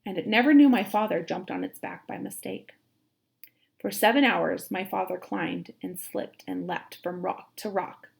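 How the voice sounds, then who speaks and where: A woman reads a children's story aloud like a teacher, expressively, with dramatic emphasis and drawn-out words. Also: medium pitch, gravelly, rough recording.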